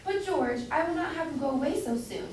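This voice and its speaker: A woman's voice: high-pitched, animated, a little fast, a little mellow. A woman playing a chatty, opinionated citizen's wife in an amateur staged reading of an old English comedy. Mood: eager, bossy. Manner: chatty, bossy, archaic English. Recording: very echoey room, distant mic, faint noise.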